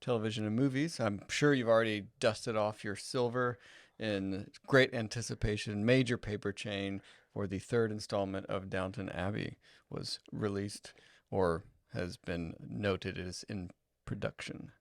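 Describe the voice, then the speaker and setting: A man's voice: lowish voice, steady tone, unhurried, no room sound. A man co-hosting a podcast in casual chat, dry and teasing. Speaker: podcast co-host.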